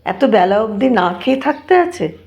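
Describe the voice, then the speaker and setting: A woman playing a caring, worried village mother in an audio drama, with a gently scolding motherly manner. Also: fairly high pitch, very animated, normal speed, highs cut off.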